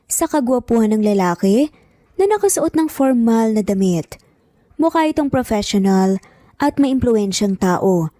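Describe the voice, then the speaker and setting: A female storyteller narrates a scripted romance. Her voice is slightly high yet soft-edged and mellow, captured in a very dry recording. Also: no room sound.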